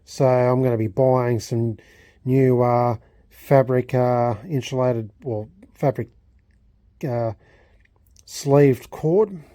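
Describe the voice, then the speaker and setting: Mellow-voiced man, halting hobbyist vlog talk. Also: medium pitch, dry recording. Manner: halting, repeats and rephrases himself often. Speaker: hobbyist vlogger. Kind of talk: unscripted restoration vlog talk.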